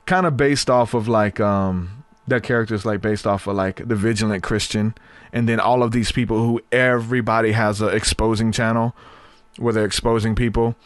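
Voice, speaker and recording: A man's voice: lowish voice, fairly even intonation, normal speed, no room sound. A man in his thirties hosting a podcast, chatting casually and rambling, his voice tired from long talking. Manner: rambling, repeats words, many fillers. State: voice getting tired. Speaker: podcast host.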